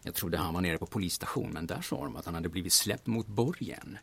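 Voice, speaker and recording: An actor playing a brash, flirtatious man from the big city who talks in a smooth, cheeky, insinuating way in an audio drama. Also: low voice, lively intonation, fairly bright tone, no room sound, exaggerated voice. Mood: cocky, teasing. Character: brash, flirtatious Stockholm man posing as auction-house buyer.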